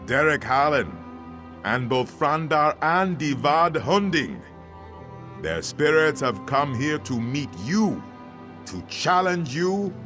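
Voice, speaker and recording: A man voicing a wise, devout sage in a fantasy game, speaking in a solemn, ceremonious manner. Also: mid-range voice. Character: wise, devout male sage guiding a hero through trials. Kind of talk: fantasy video game acting.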